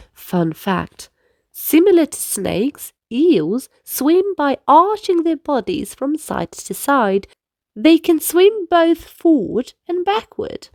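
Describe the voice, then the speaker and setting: A woman reads a children's story aloud with lots of expression. Her pitch is high and swings widely, and she takes her time with the words. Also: no echo, studio quality. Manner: animated, deliberate storytelling. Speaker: children's book reader.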